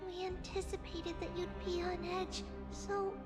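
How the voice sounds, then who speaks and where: A woman playing a gentle, serene little girl seer in a video game, speaking in a composed, caring way. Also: very high-pitched, level tone, measured pace, music in the background. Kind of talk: scripted video game acting.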